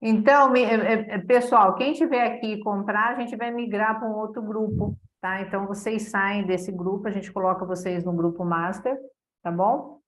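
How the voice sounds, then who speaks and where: A woman's voice: medium pitch, steady tone, normal speed, poor audio. A woman from Santo André with a São Paulo state Brazilian accent, hosting a course on a casual video call and ending phrases with tag questions. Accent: São Paulo state Brazilian Portuguese. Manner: chatty, many tag questions. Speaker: course host.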